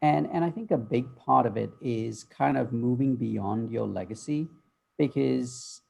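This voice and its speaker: A man's voice: medium pitch, unhurried, creaky, darkish tone, husky. A middle-aged man from Malaysia who grew up in the UK, answering thoughtfully on a remote interview call with many ums. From Malaysia, raised in the UK. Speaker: guest, future-of-work author. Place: home office. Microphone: video call.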